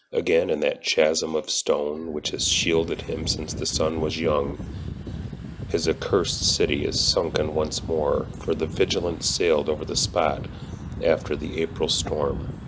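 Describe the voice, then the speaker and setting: A man's voice: rumbling low, slow pace. A man giving a solemn narrated reading of literary horror, with noise behind the voice. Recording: clear steady hum and background noise.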